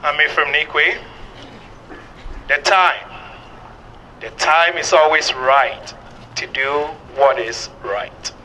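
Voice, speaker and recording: 느리고 아주 밝은 음색의 남성. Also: male voice, on the high side, takes it slowly, long pauses, sharp tone, slight background noise, rough recording. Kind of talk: slow reading aloud of a quotation.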